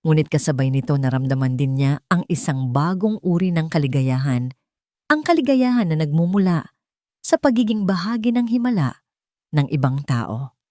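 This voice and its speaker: A woman's voice: sits low, expressive, normal speed, warm tone, no echo, clean studio sound. A woman narrating a scripted dramatic story.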